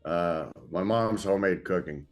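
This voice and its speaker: A man in his thirties with an extremely deep voice and an American accent. He is a native Afrikaans speaker from South Africa, talking casually as an interview guest in an informal, slightly hesitant way. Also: normal speed, faint music behind. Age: 30s.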